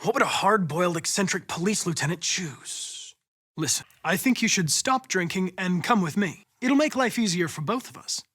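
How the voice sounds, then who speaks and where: A man with an American accent playing a polite, determined young android police investigator in a video game, speaking in a precise, formal, measured way. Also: high-pitched, fairly bright tone, breathy edge, heavily acted. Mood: earnest, probing. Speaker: actor voicing a video game character.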